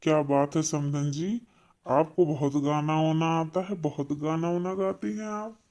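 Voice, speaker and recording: A man's voice: fairly high pitch, fairly even intonation, a little slow, darkish tone. A man voicing a polite but impatient father of the groom in a comedy cartoon. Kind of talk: Hindi comedy cartoon dubbing.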